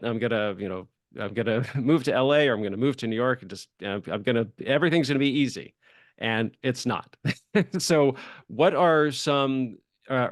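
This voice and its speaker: A man's voice: medium pitch, no room sound, studio quality. A man hosting a casual interview, chatting in a rambling, loosely worded way. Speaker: podcast host interviewing a guest.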